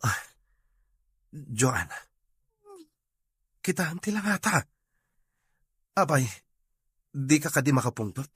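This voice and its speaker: A man performs a young drinker who is easily rattled, in an audio drama. His voice sits at a medium pitch with a rough, husky edge. The recording is dry, with no room sound. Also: big pitch swings, slow, pauses often, fairly bright tone, dry recording, exaggerated voice. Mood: confused, alarmed.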